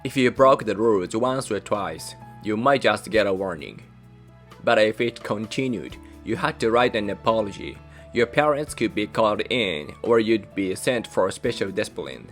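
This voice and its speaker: A young man from Japan, a native Japanese speaker with a Japanese accent, hosting his channel in measured, careful scripted narration. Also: lowish voice, lively intonation. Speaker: channel host. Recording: soft music behind voice.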